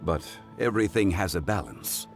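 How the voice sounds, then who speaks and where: An actor playing a calm, courteous, scholarly consultant in a game, speaking in a measured, formal, eloquent manner. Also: male voice, sits low, soft background music. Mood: composed, thoughtful. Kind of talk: animated game voice acting.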